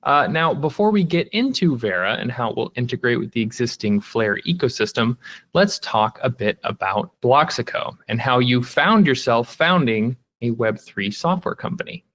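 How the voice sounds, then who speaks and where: A man hosting a podcast, opening with a smooth, upbeat introduction and questions for his guest. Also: medium pitch, animated, moderate pace, a bit bright. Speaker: podcast host. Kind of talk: scripted interview intro.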